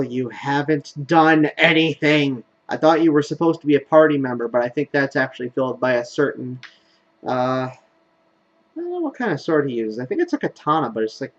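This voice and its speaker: A man's voice: medium pitch, animated, moderate pace, dull audio. A man giving casual, rambling commentary as he plays through a video game. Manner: rambling, offhand. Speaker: gaming video host. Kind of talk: casual let's play commentary.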